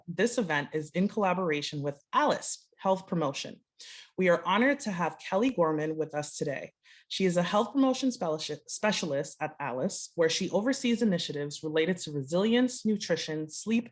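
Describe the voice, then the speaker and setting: A woman's voice: lowish voice, expressive, moderate pace. Woman host reading a scripted introduction. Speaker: host introducing a workshop speaker. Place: online video meeting. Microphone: video call.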